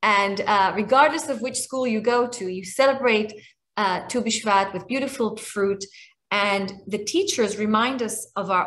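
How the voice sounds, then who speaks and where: A woman in her forties with an Israeli accent and Hebrew as her first language, speaking earnestly on a video call from a small room at home, often restarting her sentences. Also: mid-range voice. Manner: earnest, warm, restarts often, slows on emotional points. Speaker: guest speaker and author. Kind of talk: heartfelt talk on a video call. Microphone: webcam on a video call.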